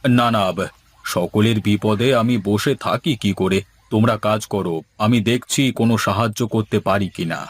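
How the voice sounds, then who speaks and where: Man voicing a low-pitched, grave old village headman. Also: a little slow, breathy edge. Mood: calm, concerned. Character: anxious, pious, kindly old village headman. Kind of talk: dramatised cartoon dialogue.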